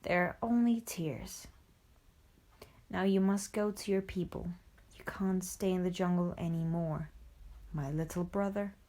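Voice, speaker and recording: A calm, wise male panther from a storybook, voiced by a woman reading aloud. He talks low and steady, handing out patient advice in an unhurried way. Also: lightly acted. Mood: gentle.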